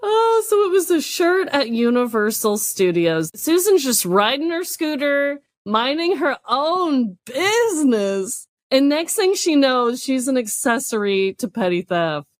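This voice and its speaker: High-pitched, animated woman podcaster. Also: measured pace, hardly any pauses, dry recording, clean studio sound. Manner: giggly, animated, playful teasing. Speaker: podcast co-host. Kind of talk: casual comedic podcast chat.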